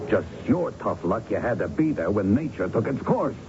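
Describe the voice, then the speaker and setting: A brash gangster boss from a vintage radio play, cajoles and orders in slangy tough talk. His low voice swoops animatedly through a dull, noisy broadcast recording. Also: sing-song, moderate pace, over loud music. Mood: callous, coaxing. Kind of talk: radio drama acting.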